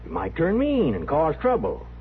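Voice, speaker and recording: A man's voice: fairly high pitch, wildly swooping pitch, normal speed, vocal fry, full character voice. An actor playing a fussy, self-righteous, meddling frontier townsman in an old radio western. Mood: insistent. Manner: hesitant openings, officious insistence. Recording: muffled, noisy old radio recording.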